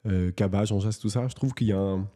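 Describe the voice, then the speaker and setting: A man's voice: lowish voice, steady tone, a little fast, warm tone. A man hosting a casual interview, talking with many hesitations and restarts. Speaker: host.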